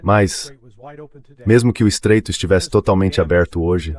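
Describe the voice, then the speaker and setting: A man's voice: low voice, moderate pace, darkish tone. A man giving political analysis as a commentator, in a synthetic translated voice-over. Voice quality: synthetic dubbing voice. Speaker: commentator guest.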